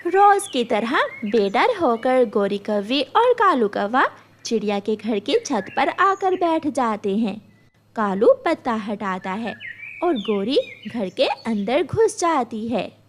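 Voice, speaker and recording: A woman narrates a children's story in a high voice. She reads the script slowly, with very varied intonation. Slight noise can be heard behind her. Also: big pitch swings.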